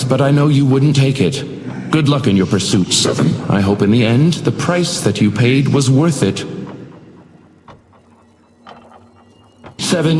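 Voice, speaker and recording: Man playing a theatrical, sinister doorman, swooping pitch. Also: slight background noise, natural voice. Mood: condescending warning. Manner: theatrical, polished, condescending, mock-courteous. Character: sinister, smug supernatural hotel doorman, courteously menacing. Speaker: video game character voice actor. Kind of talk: scripted game character voicelines.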